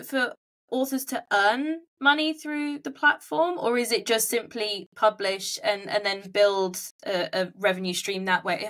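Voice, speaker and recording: A woman's voice: a little high, a bit bright. A woman hosting an interview over a video call, phrasing her questions in a tentative, roundabout way. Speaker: podcast host, interviewer. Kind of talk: conversational interviewing.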